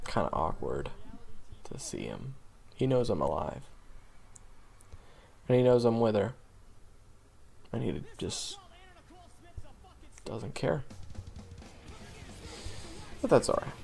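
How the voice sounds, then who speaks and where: Young male monster hunter, played by a male actor, audio drama monologue. Casual, self-deprecating, trailing off; fry-heavy, expressive pitch, music underneath. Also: full character voice. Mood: subdued, awkward. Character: young monster-hunting swordsman, wry, wounded, easygoing. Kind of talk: conversational audio roleplay monologue.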